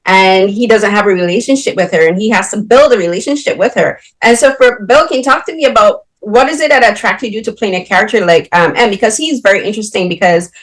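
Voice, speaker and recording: A woman's voice: medium pitch, quick pace, few pauses. A woman from Barbados, interviewing filmmakers with long, winding questions full of fillers. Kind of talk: film interview.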